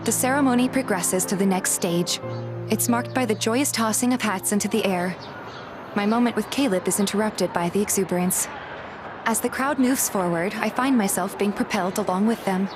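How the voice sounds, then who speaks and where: A woman's voice: mid-range voice, close to an everyday voice. A woman playing a playful, bashful young heroine, reading scripted first-person romance narration and dialogue. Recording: loud background music.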